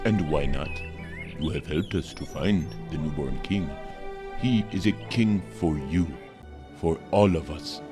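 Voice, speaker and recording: A deep-voiced devout servant of the Magi. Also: male voice, measured pace, over music. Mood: pleading. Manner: earnest, stammers, courteous. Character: humble attendant of the Magi, earnest and devout. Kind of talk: audio drama acting.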